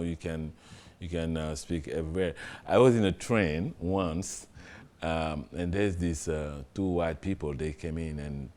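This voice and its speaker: A middle-aged man with a Senegalese accent, a guest in an interview, answering in a relaxed, hesitant way with many fillers and small stories. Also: sits low. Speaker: interview guest, TV general manager.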